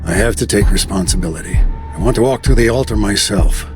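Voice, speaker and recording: A man's voice: fairly low pitch, fairly quick, music in the background, natural voice. A man voicing an older, dutiful, self-sacrificing hunter in a game cutscene, grave and earnest. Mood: solemn remorse. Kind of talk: dramatic game cutscene voice acting.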